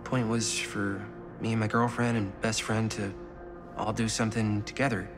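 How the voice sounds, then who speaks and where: A man's voice: fairly low pitch, level tone, normal speed, music in the background, lightly acted. Hesitant young American guy in a game played by a man. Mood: awkward, hesitant. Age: young adult. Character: earnest, awkward young amateur filmmaker with a girlfriend. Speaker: video game character. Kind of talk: naturalistic game cutscene acting.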